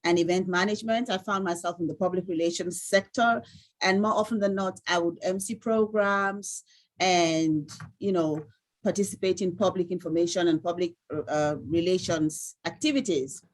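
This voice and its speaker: A woman from Sierra Leone with a Sierra Leonean accent, giving a measured, articulate self-introduction as an online workshop participant. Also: fairly low pitch. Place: online video call.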